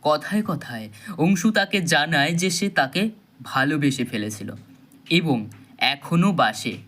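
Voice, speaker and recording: A man narrating a thriller audio story in a dramatic, suspenseful style with measured pauses. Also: fairly high pitch, animated, a bit bright.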